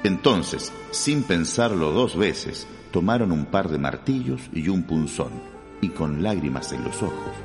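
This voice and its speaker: A low-voiced man narrates a true-crime radio drama. His delivery is grave and suspenseful, wrapped in long reverb with music playing clearly behind him. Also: expressive, unhurried.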